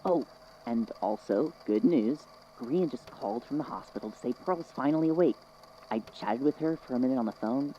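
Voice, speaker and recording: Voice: mid-range voice, animated, normal speed, highs cut off, slight background noise, natural voice. A performer playing a friendly, easygoing coworker in a scripted audio drama, talking casually. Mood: friendly, amused. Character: easygoing, friendly institute coworker bringing news.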